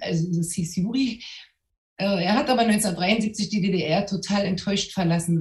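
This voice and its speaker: A woman's voice: lowish voice, darkish tone. A middle-aged woman from East Berlin, a journalist guest, telling her story thoughtfully with frequent hesitations. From East Berlin, Germany. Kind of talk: personal account on a panel. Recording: echoey room.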